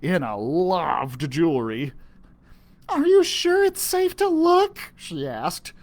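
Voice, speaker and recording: Brief: playful children's librarian, pirate-speak storyteller, unhurried delivery, rough gravelly tone. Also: male voice, on the high side, big pitch swings. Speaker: children's librarian reading aloud. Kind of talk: storytime read-aloud. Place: library video recording.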